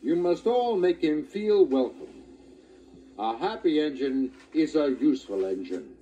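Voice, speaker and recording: A woman voicing a commanding male railway boss in a children's storybook, formal and booming. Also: sing-song, a little slow, mellow, muffled recording, a little hiss or hum. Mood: announcing. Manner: formal, emphatic.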